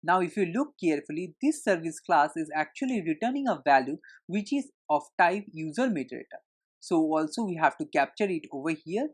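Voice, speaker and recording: A woman's voice: fairly low pitch, darkish tone. A woman narrating a screencast tutorial as an instructor, explaining things step by step in an instructional way.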